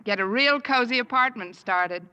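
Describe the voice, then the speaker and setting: An actress playing a sardonic, quick-witted schoolteacher who narrates a 1950s radio sitcom. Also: female voice, a little high, sharp tone, clear, resonant tone, lo-fi sound. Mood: teasing. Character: wisecracking dry-witted female English teacher. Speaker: teacher and narrator.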